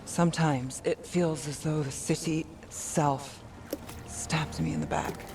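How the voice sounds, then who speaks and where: A woman's voice: deep voice, steady tone, unhurried, vocal fry. A woman voicing a weary, guarded detective with dry, understated wit in a fantasy video game. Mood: weary, troubled. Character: weary, wry, guarded young female detective in a fantasy city.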